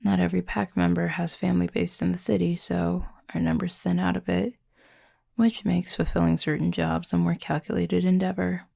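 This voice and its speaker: A woman voicing a confident, flirty werewolf pack leader in an intimate audio roleplay, with a husky, breathy, gravelly voice and a teasing, sardonic drawl. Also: on the low side, warm tone, no room sound, clean studio sound, heavily acted. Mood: guarded, earnest.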